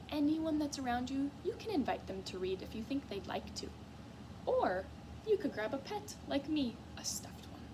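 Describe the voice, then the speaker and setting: A woman teacher giving a read-aloud lesson to young children in an expressive, encouraging way, often putting questions to her listeners. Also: high voice, noisy background. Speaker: music teacher. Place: school classroom, in a reading corner.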